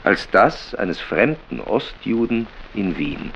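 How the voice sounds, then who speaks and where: Slow, gravelly, solemn male reciter. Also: fairly low pitch, sing-song, dull audio, music in the background, exaggerated voice. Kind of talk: literary recitation in a radio play.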